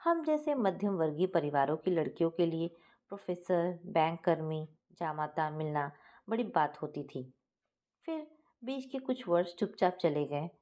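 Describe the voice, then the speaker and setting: A woman's voice: on the low side, expressive, a little slow, a little mellow. A woman reading a scripted first-person family story as its narrator.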